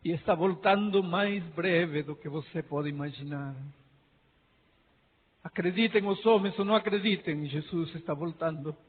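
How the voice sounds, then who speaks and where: A middle-aged pastor from Peru preaches with a Peruvian Spanish accent and a fairly high, husky voice. He swings between hushed pleading and rising near-shouts. His voice carries a long hall reverb through a thin, phone-like recording. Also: moderate pace. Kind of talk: sermon. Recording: tinny lo-fi sound in a reverberant hall.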